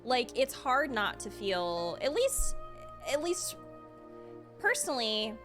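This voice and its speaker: A woman's voice: fairly high pitch, sing-song, slow, fairly bright tone. Chatty woman streamer over game music. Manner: chatty, restarts, laughs often. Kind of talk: casual game reaction commentary.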